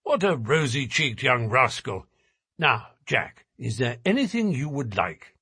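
A man voicing a shrewd detective in an audiobook, with a smooth, measured, politely formal way of coaxing. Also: medium pitch, very animated, pushed and bright, dry recording, clean studio sound, heavily acted. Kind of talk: audiobook character voice.

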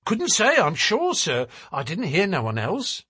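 Stout boatman's wife, played by a man: high, swooping delivery, garrulous and fretful, colloquial and deferential, for an audiobook. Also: wildly swooping pitch, a little slow, bright, forceful tone, breathy edge, no echo, exaggerated voice. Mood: worried. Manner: chatty and colloquial, deferential with frequent "sir". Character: working-class boatman's wife, stout, scolding, anxious, garrulous. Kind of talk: audiobook character voice.

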